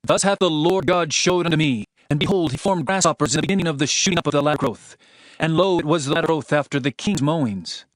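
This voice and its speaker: A man's voice: fairly high pitch, quick pace, no room sound, lightly acted. A man playing an earnest herdsman prophet, solemn and declamatory, in a dramatized scripture reading. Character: Amos, earnest male herdsman prophet proclaiming judgment. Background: music.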